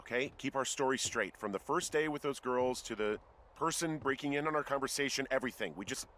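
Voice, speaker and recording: An American actor playing an earnest, dryly humorous male fire lookout in a video game, speaking in a conversational, halting way. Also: mid-range voice, a bit bright, lightly acted. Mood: earnest, reassuring. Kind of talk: naturalistic video game voice acting.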